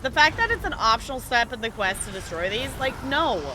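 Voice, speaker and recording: A woman live streamer chatting casually with her viewers while gaming, thinking aloud with halting pauses. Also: fairly high pitch, lively intonation, background noise.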